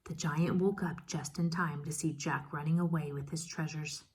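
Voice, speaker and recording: A woman teacher reading a children's story aloud expressively, pausing to ask questions and explain. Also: sits low, steady tone, breathy edge. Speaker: first grade teacher.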